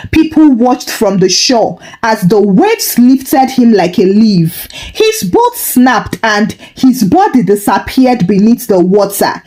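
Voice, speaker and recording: A woman reads a scripted folktale as its storyteller. Her voice sits a little high and moves expressively up and down, giving the narration a dramatic shape. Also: darkish tone.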